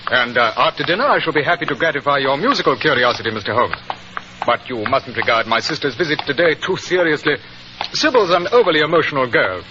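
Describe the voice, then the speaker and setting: Dignified British aristocrat played by a man, mid-pitched, lively intonation, formal, noisy vintage radio recording. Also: fast talker, natural voice. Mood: polite reserve. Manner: polite, formal. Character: dignified, reserved, proud English lord. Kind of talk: 1940s radio acting. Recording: dull, noisy, crackling 1940s radio recording.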